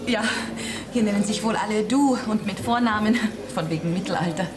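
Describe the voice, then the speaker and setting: A woman's voice: medium pitch, a little fast, echoey room, music in the background, natural voice. An actress playing an easily delighted woman tourist in a radio drama, effusive and always seeking agreement. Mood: delighted, chatty.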